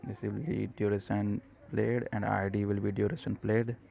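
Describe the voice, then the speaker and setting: Low, mellow man giving a halting tutorial. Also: little pitch movement, gravelly. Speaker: tutorial presenter. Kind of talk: step-by-step software tutorial narration.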